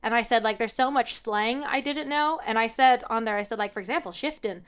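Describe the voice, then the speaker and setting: Young American woman, podcast co-host, chatty storyteller, slightly high voice. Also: a little fast, clean tone. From United States. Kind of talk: casual chat.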